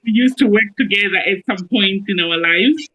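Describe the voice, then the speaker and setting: Upbeat woman guest from Zimbabwe, crisp bright tone, video call mic. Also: mid-range voice, moderate pace, highs cut off. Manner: cheerful, upbeat. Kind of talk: self-introduction on a video call show.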